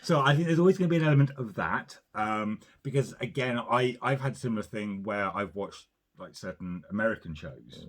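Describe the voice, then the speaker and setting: Low-voiced man musing in casual chat. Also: animated, brisk. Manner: rambling, hedging with "you know" and "sort of". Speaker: podcast co-host. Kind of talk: casual film review chat.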